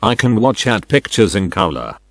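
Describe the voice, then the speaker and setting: An older man narrates instructions as a non-native speaker of English. His voice sits fairly low and he explains each step plainly and deliberately. The sound is close and dry. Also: sing-song, vocal fry, no echo. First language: not English. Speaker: product demonstrator.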